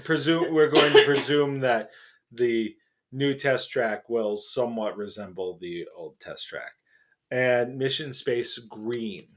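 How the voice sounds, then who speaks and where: A man's voice: medium pitch, slow. Middle-aged male co-host, casual and rambling, fills gaps with ums. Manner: rambling, many fillers and restarts. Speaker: podcast co-host. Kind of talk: casual chat.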